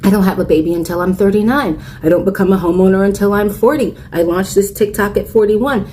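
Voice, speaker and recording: A woman's voice: medium pitch, quick pace, mellow. A woman in her forties speaks to camera in a candid, earnest way, telling her own story. She is a content creator with a mid-range voice, and a faint noise sits under the recording. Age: 40s. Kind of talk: personal reflective talk to camera.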